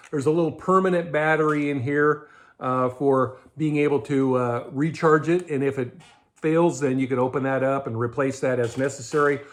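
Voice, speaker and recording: A middle-aged man with an American accent explains things conversationally, like a host reviewing gear informally to camera. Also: a little high. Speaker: host of a budget prepping show. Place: indoors in a garage or storage room with shelves.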